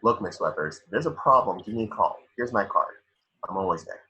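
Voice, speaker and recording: A man playing a cool, no-nonsense police detective in an amateur horror script reading, with a husky voice. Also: fairly low pitch, animated, fairly quick, sharp tone, muffled recording, exaggerated voice. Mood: firm, businesslike.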